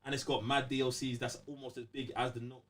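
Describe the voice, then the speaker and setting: A man's voice: medium pitch, level tone, quick pace, fairly bright tone, recorded from a distance. A man with a London accent chatting casually as a podcast co-host, blunt, slangy and exclamatory. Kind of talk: casual gaming podcast banter.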